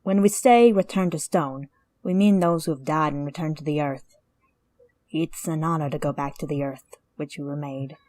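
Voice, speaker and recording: A woman voicing a female dwarf who gently explains her people's customs. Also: sits low, moderate pace, no room sound, natural voice. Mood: gentle.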